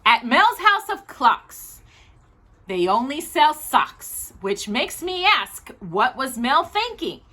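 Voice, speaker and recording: Lady doing kids storytime, slow n sing-song, bright voice. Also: high voice. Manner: animated, sing-song reading. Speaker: children's storytime host. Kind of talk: read-aloud storytelling for children.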